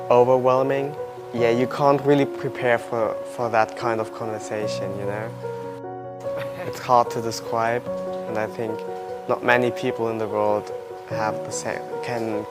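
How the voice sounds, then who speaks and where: Young German man, even voice over music. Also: mid-range voice, normal speed. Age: early 20s. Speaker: interviewee, adoptee searching for birth family. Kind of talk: personal storytelling in an interview. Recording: loud music under the voice.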